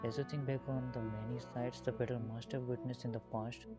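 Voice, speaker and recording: A woman with a very low, murky voice comments casually on a game she is playing. She talks into an earphone mic while loud music runs behind her. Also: level tone, brisk. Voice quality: murky, deep voice. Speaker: gaming video host. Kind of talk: casual gameplay commentary, reading dialogue aloud. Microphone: phone earphone mic.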